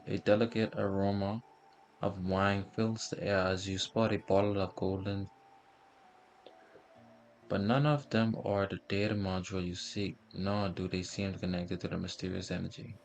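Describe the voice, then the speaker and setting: A man's voice: sits low, little pitch movement, unhurried, gravelly, a little mellow, a little hiss or hum. A man doing a casual video game playthrough, commenting and reading the on-screen text aloud. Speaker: game streamer.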